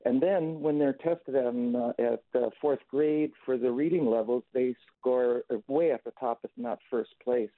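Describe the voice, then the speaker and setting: A man calling in to a radio show, speaking haltingly and repeating words as he goes. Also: mid-range voice, dry recording. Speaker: caller. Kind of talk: phoned-in comment. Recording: tinny phone line.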